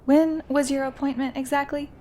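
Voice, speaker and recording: A woman's voice: high-pitched, little pitch movement, moderate pace, warm tone, slight background noise, natural voice. A woman acting as a polite, chatty office receptionist in an audio drama. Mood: polite, curious.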